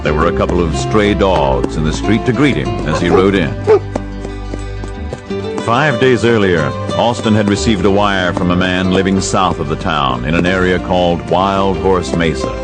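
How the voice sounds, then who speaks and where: Low-voiced guy narrating a western, calm and even, music playing behind. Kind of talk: scripted audiobook narration. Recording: music bed under narration.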